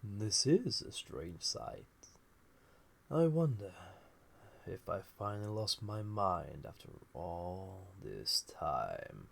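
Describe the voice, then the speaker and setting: Man acting an ancient gravelly villain, very deep. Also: big pitch swings, takes it slowly, full character voice. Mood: bemused, wry. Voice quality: gravelly, husky. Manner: musing, theatrical, drifting into breathy near-whispers. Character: ancient banished male villain, magical being, wry, flirty, menacing. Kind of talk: scripted audio roleplay, intimate monologue to listener.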